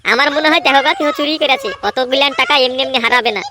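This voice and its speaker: A woman's voice: sits high, quick pace, noisy background, close to an everyday voice. A woman voicing a cheeky, boastful young male troublemaker in a comedy cartoon, with a brash, cocky manner. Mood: gossipy. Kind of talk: cartoon voice acting.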